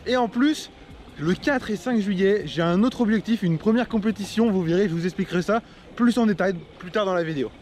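A chatty young man in his twenties talks to his viewers from a bike-mounted action camera as he rides. His voice sits high for a man, and loud wind and traffic noise run under it on the open road. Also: fairly quick. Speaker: cycling vlogger. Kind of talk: casual vlog to camera while riding. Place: outdoors on a road bike, rainy roads.

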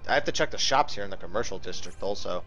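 A man's voice: lowish voice, expressive, fast talker, fairly bright tone, rough recording. A man giving casual live video game commentary, thinking aloud and swearing as he chats with viewers. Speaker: livestream host playing a video game. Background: game music.

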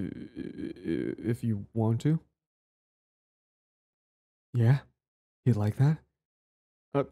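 A man's voice: on the low side, gravelly, mellow, full character voice. A man playing a lovestruck, clingy young bully in intimate romance roleplay audio, stammering, sighing and pleading. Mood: nervous, eager.

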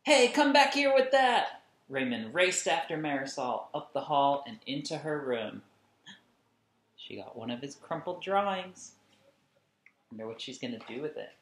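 A man in his thirties reading a picture book to young children expressively, with emphatic, deliberate delivery and explanatory asides. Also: medium pitch, animated, fairly bright tone. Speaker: children's read-along host. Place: small indoor room at home. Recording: echoey room, camera at a distance.

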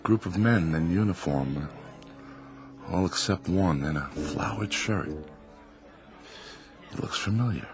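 A man's voice: deep voice, slow pace, pauses often. A man acting an uneasy psychiatrist in a radio drama. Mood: uneasy, curious. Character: uneasy male psychiatrist having a drink at a bar. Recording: radio drama with prominent music bed.

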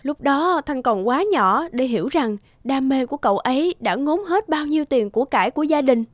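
A woman playing a caring, earnest young woman in an animated drama, telling things gently. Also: high voice, moderate pace. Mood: sad, earnest.

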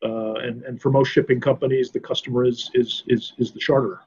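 An older man speaking off the cuff on a video call, measured and halting, repeating words as he thinks. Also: medium pitch, flat delivery, a little mellow, breathy edge. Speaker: panelist in a business discussion. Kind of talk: unscripted conference discussion. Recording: slightly dull video-call audio.

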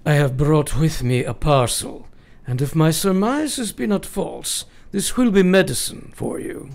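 A woman playing a grave, eccentric male professor of medicine in a radio play, speaking solemnly and deliberately. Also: sits low, lively intonation, vocal fry, darkish tone, heavily acted. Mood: solemn, insistent. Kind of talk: radio drama acting.

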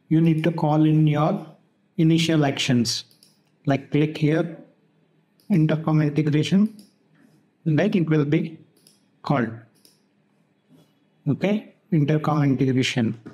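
Male tutorial host, slightly high voice, very unhurried, halting step-by-step screen narration. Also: dark tone, low-quality recording. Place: voice-over for a screen recording.

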